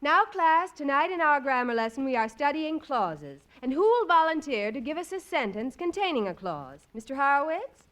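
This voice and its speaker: Prim, high-voiced schoolteacher. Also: female voice, big pitch swings, moderate pace, few pauses, a bit bright. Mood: patient, correcting. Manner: crisp, orderly classroom delivery. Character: prim, brisk female night school teacher. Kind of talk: 1950s radio comedy acting.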